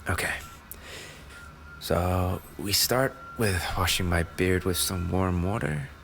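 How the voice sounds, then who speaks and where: A man playing an affectionate, injured racing driver boyfriend in an intimate roleplay audio, speaking softly and tenderly, often close to a whisper. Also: low-pitched, slow pace, gravelly, faint music behind, exaggerated voice. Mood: relaxed, affectionate.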